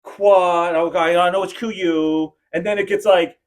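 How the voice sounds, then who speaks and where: A woman co-hosting a podcast in casual chat. She tells stories in an animated way, laughs often and acts out bits of dialogue. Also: on the low side, a little slow. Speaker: podcast co-host.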